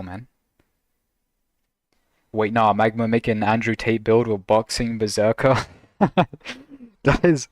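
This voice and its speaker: A man's voice: medium pitch, no echo, clean studio sound. A man casually reacting to videos on a stream, laughing a lot and teasing in a slangy, joking way.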